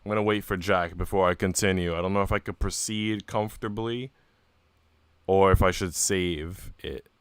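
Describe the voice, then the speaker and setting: A man's voice: low-pitched, fairly even intonation, normal speed, smooth, no room sound. A man live streaming, reading a visual novel aloud and dropping into casual, slangy asides to his chat. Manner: informal, slangy, chatty.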